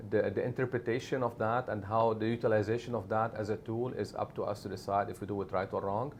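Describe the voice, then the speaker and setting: A middle-aged man whose first language is Arabic speaks in a low, gravelly voice. He talks emphatically and keeps checking in with "right?". Faint noise sits under the speech. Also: steady tone, quick pace. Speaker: media executive guest. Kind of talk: conversational interview answers.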